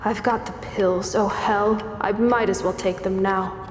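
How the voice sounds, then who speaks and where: A woman's voice: medium pitch, steady tone, a little slow, slight background noise, natural voice. An actress playing a wary, defiant young female citizen in a radio drama, guarded and tense. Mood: anxious. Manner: tense self-talk, guarded. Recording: roomy reverberant sound with faint music.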